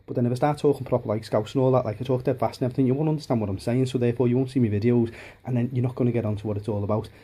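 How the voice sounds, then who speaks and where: A man in his thirties from Liverpool, chatting casually on a home vlog with a softened Liverpool accent, rambling with many restarts and ums, filmed on a handheld camera in his dining room. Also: mid-range voice, steady tone, racing, hardly any pauses, breathy edge. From Liverpool, England. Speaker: hobbyist vlogger. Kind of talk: casual home video chat.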